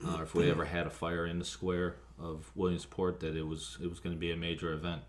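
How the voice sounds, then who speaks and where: An American man, a fire officer being interviewed, recounting events haltingly with frequent ums and pauses. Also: sits low, monotone. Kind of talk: spoken account of an emergency call, interview.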